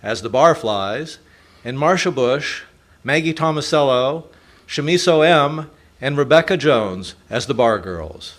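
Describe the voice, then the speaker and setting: A man's voice: medium pitch, expressive, measured pace, a bit bright. A man announcing scripted closing credits for an amateur radio drama.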